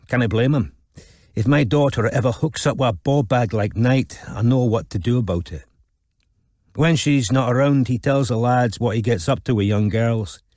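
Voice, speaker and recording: A man's voice: medium pitch, a little mellow, dry recording, natural voice. Male narrator as streetwise Scotsman, blunt, coarse, slangy, audiobook dialogue, close dry sound. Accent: Scottish. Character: blunt, streetwise Scottish man, a coarse investigator.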